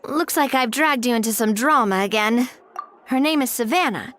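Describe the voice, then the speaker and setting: A woman's voice: a little high, normal speed, a bit bright, slight background noise, lightly acted. A woman playing a poised, principled young woman leader in a video game, articulate, earnest and composed. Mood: earnest, explaining. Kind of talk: video game dialogue acting.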